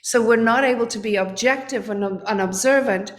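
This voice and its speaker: A woman's voice: a little high, moderate pace. A woman, a guest on a podcast interview, explaining her ideas earnestly and often repeating words as she searches for them. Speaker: guest, trading coach.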